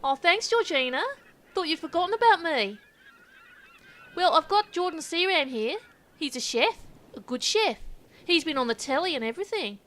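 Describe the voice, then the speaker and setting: Brash aussie presenter, really high sharp voice, indignant and matey, audio comedy. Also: female voice, expressive, normal speed, long pauses. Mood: eager, matey. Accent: Australian. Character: brash, dim, indignant Australian female show presenter.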